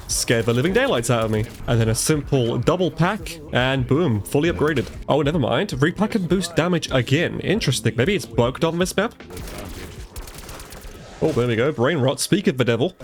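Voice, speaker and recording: A man giving casual live commentary over gameplay, a bit congested from a cold, chatty and reacting out loud as he plays. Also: mid-range voice, expressive, moderate pace. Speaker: gaming commentator.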